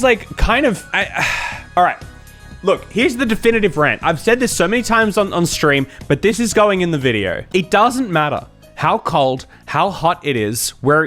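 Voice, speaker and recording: A man's voice: high-pitched, a bit bright. A man from Australia with an Australian accent, chatting casually as a streamer in a rambling, jokey way. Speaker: YouTuber and streamer. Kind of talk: casual livestream chat.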